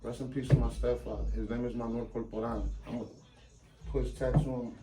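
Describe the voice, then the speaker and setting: A man's voice: medium pitch, fairly even intonation, fast talker, loud music behind the voice, rough recording. A man, chatting as an interview guest in street slang and switching into Spanish now and then. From New York. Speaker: guest rapper. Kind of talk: casual interview chat.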